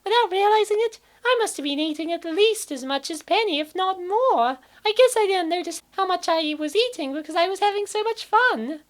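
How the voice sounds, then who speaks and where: A woman's voice: very high-pitched, lively intonation, fairly quick, hardly any pauses. A woman playing a naive young college woman in a comic dub, narrating and exclaiming theatrically. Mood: reflective. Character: cheerful naive college woman narrating her weight gain.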